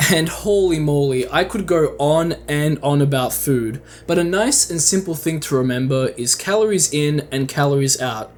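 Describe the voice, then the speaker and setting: A young adult man delivering casual scripted video commentary in an earnest, encouraging, informal way. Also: fairly high pitch. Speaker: YouTube video narrator. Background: music.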